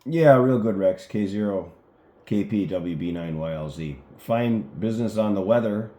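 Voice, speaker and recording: Mellow, low-voiced American man, roomy sound. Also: normal speed. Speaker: amateur radio operator. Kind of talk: casual ham radio conversation.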